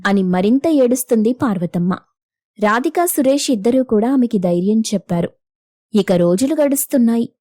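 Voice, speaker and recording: A woman's voice: a little high, expressive, moderate pace, dark tone, no room sound, studio quality. A woman reading scripted narration for a moral story as its narrator.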